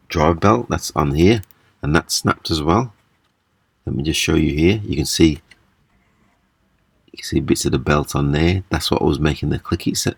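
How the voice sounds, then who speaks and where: Very deep-voiced man demonstrating a repair. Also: creaky, breathy edge, no room sound. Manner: halting, step-by-step explaining. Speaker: repair video presenter. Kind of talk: casual hands-on repair demonstration. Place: small indoor room at a table.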